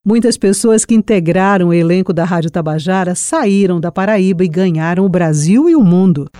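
A woman's voice: fairly low pitch, normal speed, warm tone, a little hiss or hum. A woman presenting a radio documentary with scripted narration. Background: background music.

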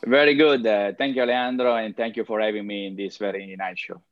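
An Italian-accented man speaking as an interview guest, with a somewhat low voice. He talks haltingly, searching for words. The sound is a little dull and very dry. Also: steady tone, no echo. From Italy. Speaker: interview guest, chamber of commerce president. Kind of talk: conversational interview answers.

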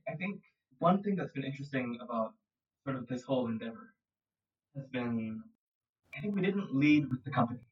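A man's voice: medium pitch, far from the mic, lo-fi sound. A man, a guest talking reflectively in a casual remote interview, with many fillers and small laughs. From Singapore. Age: 20s. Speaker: guest, audio production founder.

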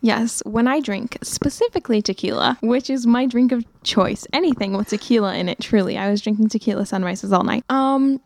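A woman's voice: fairly high pitch, fairly quick, few pauses, a little mellow, dry recording. A young adult woman from California with an American accent, chatting casually in an emphatic, gushing way as a podcast guest. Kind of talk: casual chat.

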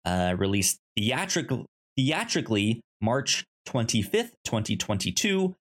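A podcast co-host with a medium-pitched voice talks casually through movie pitches. The delivery is halting, with frequent stammers and repeated syllables. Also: sing-song, a bit bright.

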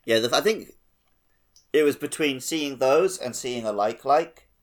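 A man's voice: medium pitch, a little slow. A man chatting casually as the co-host of a gaming podcast.

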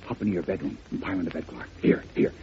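An actor playing a confident, quick-thinking, bossy crime-fighting hero in an old radio serial. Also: male voice, sits low, lively intonation. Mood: urgent. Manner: brisk, bossy, reassuring. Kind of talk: 1940s radio serial acting. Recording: dull, noisy old radio recording.

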